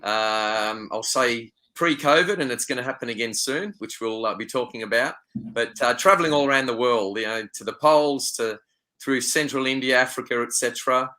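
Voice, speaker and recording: Upbeat Australian man, bright voice. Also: mid-range voice, normal speed. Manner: upbeat, chatty. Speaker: wildlife photographer and guest expert. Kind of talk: casual interview talk.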